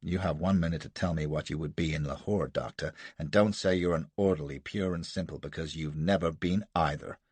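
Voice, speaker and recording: A man reading aloud and voicing a gruff, blustering American colonel with a barking, bullying manner. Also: very deep voice, fairly quick, few pauses, a little mellow, no room sound, pitched below the performer's own voice. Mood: scornful.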